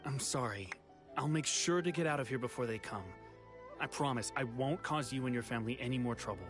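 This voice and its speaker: An actor playing a dejected, gentle man in hiding in an anime game, speaking with weary, hesitant resignation. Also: mid-range voice, brisk, over music, natural voice. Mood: dejected, regretful. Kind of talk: dramatic anime game voice acting.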